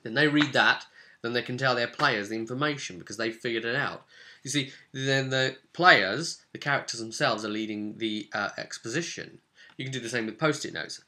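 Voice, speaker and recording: A man's voice: mid-range voice, pushed and bright. A man casually narrating a tabletop role-playing session as its game master. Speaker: game master recapping his own tabletop campaign.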